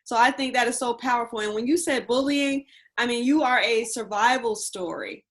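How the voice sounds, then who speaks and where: A woman's voice: fairly high pitch, normal speed, fairly bright tone. A woman hosting an interview, asking questions and giving enthusiastic praise in a casual chat. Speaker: interview host.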